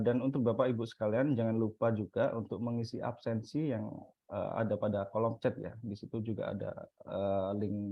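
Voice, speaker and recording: A man's voice: on the low side, dry recording. A man hosting a webinar in a semi-formal introductory style. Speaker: webinar host. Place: online webinar call. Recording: dull, lo-fi online call audio.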